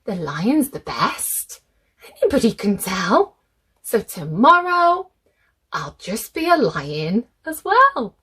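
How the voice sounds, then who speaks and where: A woman voicing an excitable, restless male emu from a children's storybook, theatrical and eager. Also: medium pitch, slow, husky, heavily acted.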